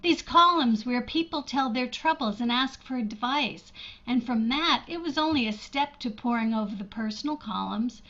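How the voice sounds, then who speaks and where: Woman acting a high-voiced, shy, lonely spinster sister. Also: a bit bright, dull audio. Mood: lonely, confiding. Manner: hesitant, earnest, self-deprecating. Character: shy, lonely, wistful unmarried older sister in Ohio. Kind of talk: old-time radio drama acting.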